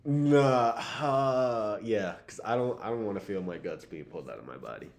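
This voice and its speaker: A young American man with a lowish voice that swings around in pitch. He chats as a podcast co-host, casual and slangy, putting his opinions across in an animated way. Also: normal speed, gravelly.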